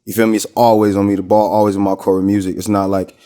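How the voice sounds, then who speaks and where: A man's voice: on the low side, level tone, rapid-fire, warm tone, smooth. An American man from Minnesota chatting casually as a podcast guest, with slangy, rambling storytelling. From Minnesota, United States. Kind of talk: casual interview chat.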